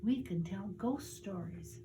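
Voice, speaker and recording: An older woman voicing an eager, excited young boy in a storybook, speaking with emphasis. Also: medium pitch, slow pace, noisy background. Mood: spooky, eager. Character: eager young boy full of big sleepover plans.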